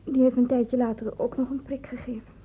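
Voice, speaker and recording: A woman's voice: on the high side, little pitch movement, a little fast, slightly rough, narrow, tinny audio. A young woman playing a timid, polite student in a Dutch radio play, hesitant and deferential. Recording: faintly hissy old radio recording.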